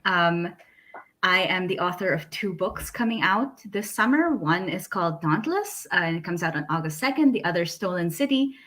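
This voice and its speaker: A woman's voice: fairly low pitch, lively intonation, normal speed, a bit bright. A woman from the Philippines, a debut author introducing herself casually on a panel.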